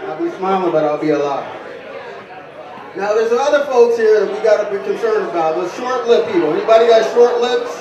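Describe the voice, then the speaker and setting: A man doing a stand-up comedy set, ordering the crowd around with quick commands. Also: sits high, reverberant, far from the mic, highs cut off. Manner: addresses the crowd with direct commands. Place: small bar stage.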